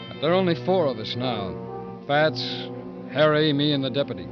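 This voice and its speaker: An actor as a weary convict, muffled old radio. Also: male voice, mid-range voice, natural voice. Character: weary convict narrating, reluctant accomplice in a swamp. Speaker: narrator and character. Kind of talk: 1950s radio drama acting, first-person narration. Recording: dull 1950s radio recording, music underneath.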